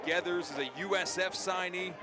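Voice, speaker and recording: Male football play-by-play announcer, high-pitched, energetic and vivid, live radio broadcast with music behind. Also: normal speed.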